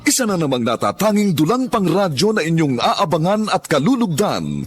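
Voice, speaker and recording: A man's voice: sits high, sing-song, normal speed, a little hiss or hum. A man giving the dramatic opening narration for a radio drama, lofty and sweeping. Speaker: radio drama program announcer.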